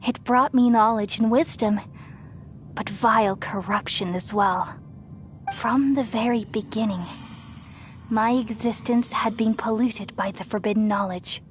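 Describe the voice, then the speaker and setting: A woman voicing an ancient, motherly goddess of wisdom who speaks calmly and soothingly in a game cutscene. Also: on the high side, close to an everyday voice. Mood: serene. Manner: calm, measured and soothing. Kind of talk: video game cutscene dialogue. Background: game score music.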